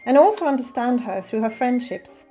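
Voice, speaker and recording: A woman's voice: on the high side, animated, moderate pace, warm tone, rough recording. A woman giving scripted narration for a documentary film. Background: faint music.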